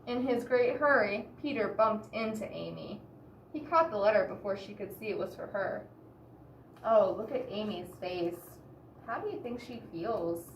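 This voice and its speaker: A woman reading a picture book aloud expressively to children, pausing to explain and ask the listeners questions. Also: medium pitch, animated. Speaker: children's story reader. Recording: roomy echo, distant mic, background hiss.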